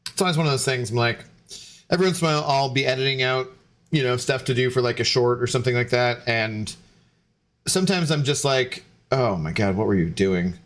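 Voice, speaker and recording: A man's voice: medium pitch, fairly quick. A young man, a game streamer, chatting loosely with viewers while tipsy, rambling with many fillers and restarts. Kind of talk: casual stream chat to viewers.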